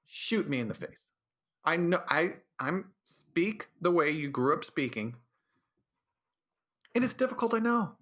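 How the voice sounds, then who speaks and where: A man's voice: a little high, lively intonation, measured pace, pauses often, a bit bright. A man hosting a solo podcast, delivering a sarcastic, rambling comedic rant. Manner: sarcastic, rambling, drops into mocking voices. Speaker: podcast host.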